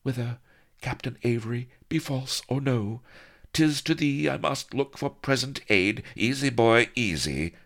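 A man voicing a haughty, flustered young noblewoman in an audiobook, raising his voice high and speaking in mock-archaic phrasing. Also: expressive, a little slow, fairly bright tone. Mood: imperious, flustered. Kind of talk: audiobook character reading.

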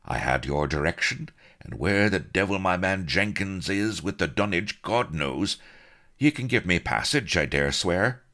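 A man's voice: low-pitched, animated, unhurried, bright, forceful tone, voice pushed up, exaggerated voice. A man voicing a genteel adventurer who talks with breezy nonchalance. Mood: nonchalant. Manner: breezy, genteel, casual drawl. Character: cool-headed gentleman adventurer, nonchalant colonel.

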